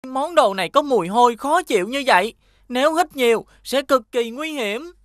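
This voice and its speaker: A woman with a southern Vietnamese accent voicing a gentle, wary little schoolboy in a children's cartoon. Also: high voice, animated, normal speed, no echo, studio quality. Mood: earnest.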